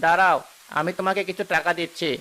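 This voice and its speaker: Man voicing a sharp, high-voiced stingy village headman. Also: little pitch movement, fast. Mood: condescending. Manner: dismissive, haughty.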